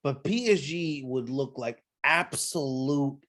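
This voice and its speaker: A man's voice: mid-range voice, takes it slowly, fairly bright tone. Chatty man on a sports podcast. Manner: filler-heavy, joking, acts out imagined quotes. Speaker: podcast co-host. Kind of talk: casual sports chat.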